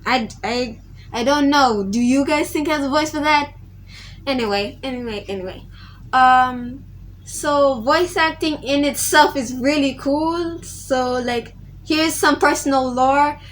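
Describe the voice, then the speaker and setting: A woman's voice: sits high, measured pace, a bit bright, slight background noise. A teenage girl talking casually to her viewers, rambling and often restarting her sentences. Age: teens. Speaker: vlogger. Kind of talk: casual vlog tribute.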